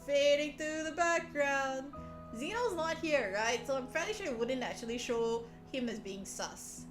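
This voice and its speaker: Female streamer, very high voice, playful and teasing, loose chatty delivery over background music. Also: a little slow. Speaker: livestream host. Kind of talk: casual live stream chat, teasing viewers. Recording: echoey room, music behind voice. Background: soft background music.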